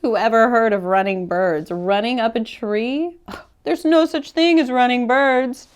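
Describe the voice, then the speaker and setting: A woman's voice: fairly high pitch, a little slow, clear, resonant tone, natural voice. A woman voicing a scornful, gleeful bird who jeers at others in a children's storybook. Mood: amused taunting. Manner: teasing, jeering. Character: mocking flock of birds, scornful and gleeful.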